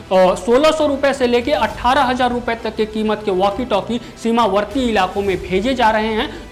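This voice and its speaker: High-voiced woman explaining the news over music. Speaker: news anchor. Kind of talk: explanatory news presenting, partly ad-libbed. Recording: music bed under the voice. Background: background music.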